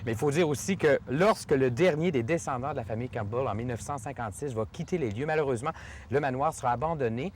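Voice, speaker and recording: A man's voice: medium pitch, fast, a little mellow, slight background noise. A man with a Québécois accent who hosts a TV show. He presents the show and interviews his guest in a chatty, enthusiastic way, joking about himself. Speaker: TV show host.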